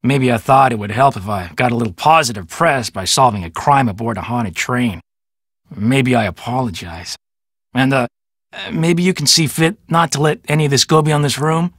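An actor playing a cocky, self-important police detective in a video game, boastful, glib and condescending. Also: male voice, mid-range voice, moderate pace, fairly bright tone, dry recording, studio quality, natural voice. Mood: sheepish. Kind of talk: video game character dialogue.

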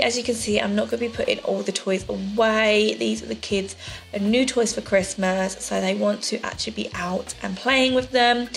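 A woman's voice: medium pitch, vocal fry, poor audio. A woman, chatting casually through a clean-with-me voice-over, with lots of ums. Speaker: vlogger. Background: soft background music.

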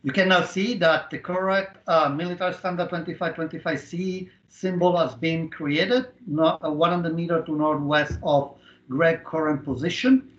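A middle-aged man explaining a software demo step by step with halting, non-native phrasing, over a video-call headset. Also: on the high side, steady tone. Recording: dull, roomy online call audio.

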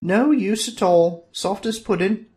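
A man voicing a short, bossy sorceress who scolds and nags in a shrill voice. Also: measured pace, dark tone. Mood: scolding. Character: bossy short female sorceress, scornful nag.

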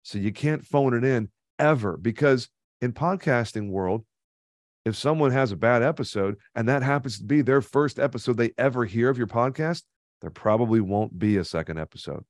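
A man in his thirties, a podcast guest in a home studio, coaching the listener emphatically on a boom-mounted studio microphone. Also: moderate pace, no room sound. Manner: emphatic, coaching, repeats key points. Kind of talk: conversational webinar interview. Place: home studio with neon sign. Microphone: studio mic on boom arm, headphones.

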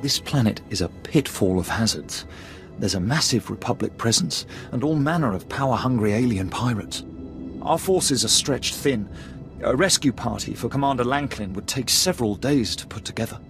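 A man's voice: medium pitch, expressive, moderate pace, faint music behind, natural voice. An actor playing an anxious male junior officer in a video game, reporting in a formal, deferential way. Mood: formal, worried. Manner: deferential, crisp military reporting. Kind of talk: scripted video game acting.

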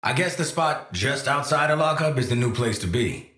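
Video game assassin played by a man: American, cynical and cocky, wry street slang, lively pitch swings, roomy sound. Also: moderate pace, natural voice. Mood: wry, confident. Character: cynical, cocky male assassin and protective father. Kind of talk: video game character voice lines.

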